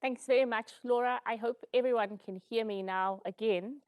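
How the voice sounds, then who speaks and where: A woman's voice: fairly high pitch, normal speed, dry recording, lo-fi sound. Female panel moderator, dry close sound.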